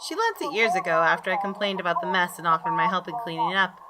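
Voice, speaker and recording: Low-voiced woman narrating, noisy recording. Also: fast. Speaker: gamer reading a visual novel aloud. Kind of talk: dramatic narration of story text. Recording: clearly noisy background.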